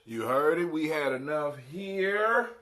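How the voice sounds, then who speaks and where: A man's voice: a little high, lively intonation, takes it slowly, a loud crowd. Casual man hosting live radio. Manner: conversational, folksy, repeats phrases for emphasis, tags sentences with "right?". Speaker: podcast host.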